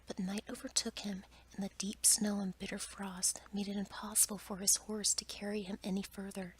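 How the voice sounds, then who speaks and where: A woman whispers a fairy tale in a soft, breathy, careful ASMR bedtime-storytelling style. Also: medium pitch, slight background noise, poor audio. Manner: soft, careful read-aloud delivery.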